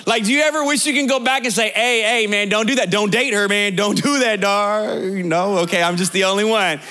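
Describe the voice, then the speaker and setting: A man's voice: high-pitched, steady tone, fairly quick, bright, forceful tone. A man in his late thirties preaching a sermon as a pastor, conversational and joking with the congregation, building points through rhetorical repetition. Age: 30s. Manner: conversational preaching with jokes and direct address; rhetorical repetition, sometimes sing-song emphasis.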